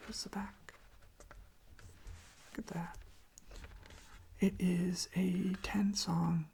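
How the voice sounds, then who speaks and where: An ASMR host speaking in a soft, breathy, husky whisper, rambling casually and gently in an informal, hushed way. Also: medium pitch, level tone, extremely slow.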